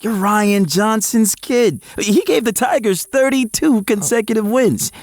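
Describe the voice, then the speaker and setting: An actor playing an eager, frazzled young male athlete, narrating his own story in an audio drama with expressive, at times hesitant delivery. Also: high voice, a little slow, no room sound, studio quality. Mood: puzzled, eager. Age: young adult. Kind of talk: first-person audio drama narration and dialogue.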